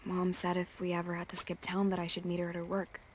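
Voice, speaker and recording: A woman playing a wary, shaken young survivor in a video game, in a hushed, breathy delivery. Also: on the low side, monotone, fast talker, a little hiss or hum, lightly acted. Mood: uneasy. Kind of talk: video game cutscene acting.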